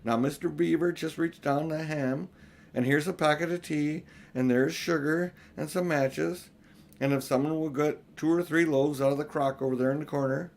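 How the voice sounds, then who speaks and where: A man voicing an unflappable, motherly female beaver in a children's storybook, homely and gently scolding. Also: on the high side, fairly even intonation, moderate pace, gravelly, pitched above the performer's own voice. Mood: brisk, cool.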